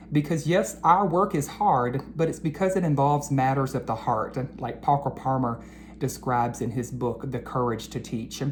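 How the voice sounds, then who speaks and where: A woman educator talking to children after a storybook read-aloud, deliberate and earnest, addressing them directly. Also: low-pitched, slight background noise.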